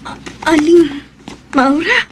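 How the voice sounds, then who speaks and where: A woman's voice: high-pitched, lively intonation, slow, background noise. A woman voicing a frightened young village woman who has been hexed, stammering and pleading through sobs in a radio drama. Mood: fearful.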